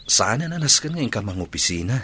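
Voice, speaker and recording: A man's voice: on the low side, sing-song, fast talker, sharp tone, a little hiss or hum. A man with an Ilocano accent playing a devoted young rancher's son in a radio drama. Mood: tender, earnest.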